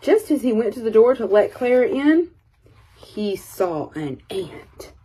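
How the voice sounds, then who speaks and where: A woman storyteller reads a picture book for young children. Her voice sits a little high and swings widely in pitch as she narrates, with a soft, mellow tone. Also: very animated, unhurried, breathy edge. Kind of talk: expressive read-aloud story narration.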